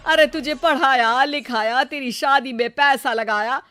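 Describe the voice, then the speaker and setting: A woman's voice: high-pitched, measured pace, bright, forceful tone, soft background music. A woman voicing a greedy, scolding middle-aged mother in a dramatic cartoon moral story. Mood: scolding, demanding. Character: greedy, sharp-tongued middle-aged mother, quick to threaten.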